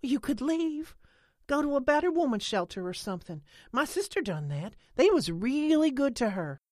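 A woman's voice: high voice, sing-song, normal speed, darkish tone, no echo, clean studio sound, pitched above the performer's own voice. A woman voicing a brash young rural mother in an audiobook, blunt and pushy, with country dialect. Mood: urgent, concerned. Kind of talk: audiobook character acting.

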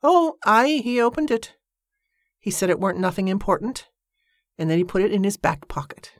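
A woman's voice: medium pitch, big pitch swings, moderate pace, dry recording, studio quality, pitched above the performer's own voice. A woman voicing a stooped, simple-minded working man who talks in rustic dialect with wide-eyed innocence. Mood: innocent.

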